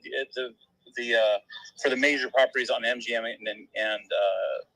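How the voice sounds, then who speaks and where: Halting, agreeable man, dry sound. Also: mid-range voice, bright, forceful tone, no echo, low-quality recording. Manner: agreeable, halting, with many false starts. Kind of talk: casual conversation.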